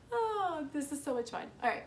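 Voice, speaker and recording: An excitable young woman talks to a propped-up camera in her classroom, chatting about her school day. Her voice sits a little on the high side, and her manner is upbeat and chatty. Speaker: fourth grade teacher and vlogger. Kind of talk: casual vlog chat. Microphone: camera propped nearby.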